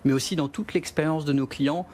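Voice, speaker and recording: A man, a company executive, answering interview questions in a measured way with frequent hesitations. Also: a little high, darkish tone, slight background noise.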